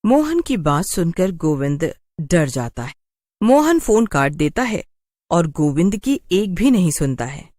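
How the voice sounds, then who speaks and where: A woman's voice: fairly low pitch, sing-song, measured pace, warm tone, no echo, clean studio sound. A woman narrating a scripted cartoon story in a dramatic storytelling style.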